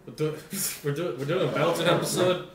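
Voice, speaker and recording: A man's voice: on the high side, brisk, a crowd in the background. A young American man co-hosting a beer tasting, chatting casually and informally with plenty of swearing. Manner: informal, profane. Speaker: co-host of a beer tasting show. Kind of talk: casual chat. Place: small kitchen at a table, indoors. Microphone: camera mic across the table. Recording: echoey room, background hiss.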